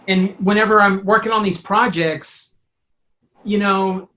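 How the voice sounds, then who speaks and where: A man's voice: high-pitched, fairly even intonation, slow pace, sharp tone, a little hiss or hum, rough recording. A man talking casually in an interview, rambling and thinking aloud with enthusiasm. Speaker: sound designer and composer; teacher.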